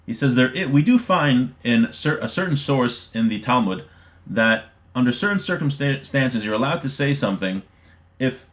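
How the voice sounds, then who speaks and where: A man teaches a class on a religious text in a mid-pitched voice. He reads passages, then explains them in informal terms, sometimes halting or repeating a word to stress it. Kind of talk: explanatory lesson, reading Hebrew text then translating.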